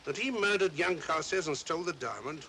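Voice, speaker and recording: An actor playing a sceptical police inspector, blunt and questioning, in 1940s mystery film style. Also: male voice, fairly high pitch, expressive, a little fast, bright, forceful tone. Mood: suspicious, reasoning. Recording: noisy old film soundtrack.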